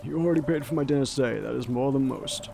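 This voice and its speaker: A man's voice: mid-range voice, fast, creaky, slight background noise, natural voice. A man voicing a polite, weary young man down on his luck, begging for change in a visual novel. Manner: polite, weary, soft-spoken.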